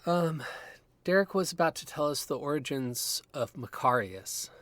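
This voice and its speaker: A man's voice: fairly high pitch, animated, normal speed, a bit bright, no echo. An actor as a nervy young guy, swooping intonation. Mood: uneasy. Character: young male gamer, cautious, nervy friend. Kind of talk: naturalistic audio drama dialogue.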